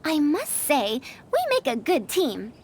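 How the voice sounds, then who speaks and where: A woman playing a crafty, long-lived scholar in a video game, airy and unhurried, with playfully formal diction. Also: high-pitched, huge pitch swings, darkish tone, slight background noise, heavily acted. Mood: breezy, amused.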